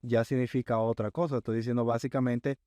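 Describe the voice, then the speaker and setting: Podcast guest guy, smooth clear voice, dry sound, calm steady storytelling, keeps asking "right?". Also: medium pitch, no room sound. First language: Spanish. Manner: measured storyteller, often checks in with "right?". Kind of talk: casual interview chat.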